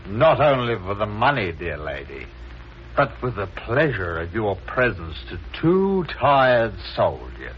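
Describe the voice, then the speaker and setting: An actor playing a menacing, mock-courtly deserter soldier with archaic phrasing in 1950s radio drama. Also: male voice, fairly low pitch, wildly swooping pitch, a little slow. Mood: sly, courtly. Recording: noisy muffled 1950s radio recording.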